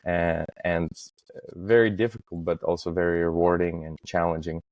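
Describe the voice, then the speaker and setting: Low-voiced man, very even in pitch. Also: moderate pace, dry recording, lo-fi sound. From Estonia. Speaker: podcast guest, facade industry professional. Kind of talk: casual interview, recounting career.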